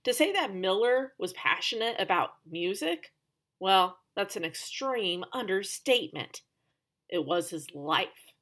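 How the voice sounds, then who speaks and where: A woman's voice: medium pitch, lively intonation, unhurried, a bit bright. A woman hosting a podcast, telling a story in a conversational scripted narration with emphatic, dramatic phrasing and chatty asides. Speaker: podcast host.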